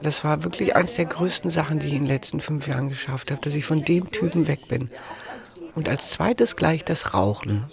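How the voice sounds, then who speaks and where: A woman's voice: low-pitched, normal speed, creaky. An actress playing a chatty, anxious young woman in a naturalistic radio drama, talking in a rambling, colloquial, self-deprecating way. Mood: animated confession. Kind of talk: naturalistic improvised-sounding radio drama dialogue. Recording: busy crowd chatter around voices. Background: crowd in a bar or café.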